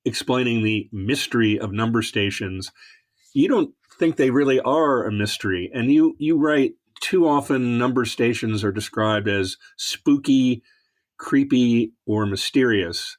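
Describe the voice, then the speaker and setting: A man hosting a conversational interview, phrasing his questions and reflections in a measured, thoughtful way. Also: medium pitch. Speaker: podcast host.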